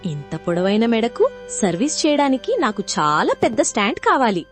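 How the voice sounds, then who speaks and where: A woman's voice: fairly high pitch, very animated, normal speed, faint music behind, voice pushed up. A woman voicing a fussy, overdramatic male monkey doctor in a children's storybook, talking in theatrical exclamations. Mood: dramatic.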